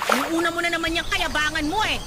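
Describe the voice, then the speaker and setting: A woman's voice: very high voice, quick pace, heavy background noise. A woman playing a harsh, resentful mother who snaps and scolds in a radio drama. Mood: angry, scornful. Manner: scolding, snapping, sarcastic. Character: harsh, resentful mother berating her grown daughter.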